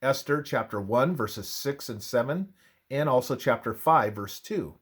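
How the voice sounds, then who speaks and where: Male narrator, mid-pitched, for scripted religious history. Unhurried, deliberate read with widely varied intonation. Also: lively intonation, slightly rough.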